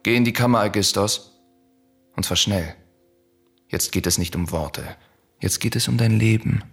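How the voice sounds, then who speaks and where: A relentless young prince bent on revenge in a classical radio tragedy. The voice is fairly low and rough-breathed, giving stern, taunting orders. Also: male voice, moderate pace, faint music behind. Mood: grim, commanding. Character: vengeful young prince, cold, grim, relentless avenger.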